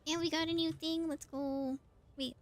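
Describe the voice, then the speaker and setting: A woman's voice: high-pitched, steady tone, normal speed, soft background music. A woman livestreamer giving casual gameplay commentary, thinking aloud in a playful, exclamatory way. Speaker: livestreamer playing a game.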